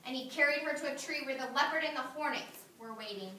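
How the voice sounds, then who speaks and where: A woman kindergarten teacher reading a story aloud to her class, animated, praising and asking the children questions. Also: sits high, quick pace, a little hiss or hum. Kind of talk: read-aloud to young children. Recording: echoey classroom, mic far off.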